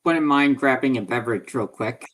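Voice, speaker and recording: A man's voice: medium pitch, normal speed, fairly bright tone. A man playing a tabletop game, chatting casually with the group. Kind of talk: casual chat.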